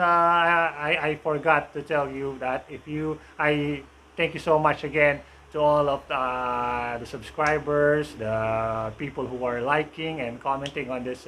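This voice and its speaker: Bright-voiced Filipino man in his workshop. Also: on the high side, a little hiss or hum. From Philippines. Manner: chatty, many filler uhs and restarts. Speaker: woodworking channel host. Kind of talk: casual tool review vlog. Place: home woodworking workshop, indoors. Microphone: camera on tripod, medium close.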